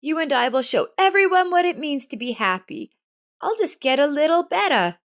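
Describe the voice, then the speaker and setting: A woman's voice: sits high, animated, moderate pace, voice pushed up. A woman voicing an angry, wounded, proud elderly grandmother in a narrated family drama story. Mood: tender. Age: older.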